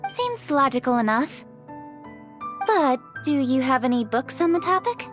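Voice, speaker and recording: A woman's voice: high voice, sing-song, a little slow, over music. An actress voicing a formal, composed young female official in a fantasy video game, speaking precisely. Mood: polite, businesslike. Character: poised, formal, diligent young noblewoman official.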